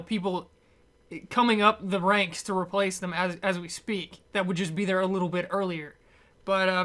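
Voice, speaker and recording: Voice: medium pitch, fairly bright tone. A movie reviewer giving a casual, unscripted rant, rambling and blunt, with frequent chuckles.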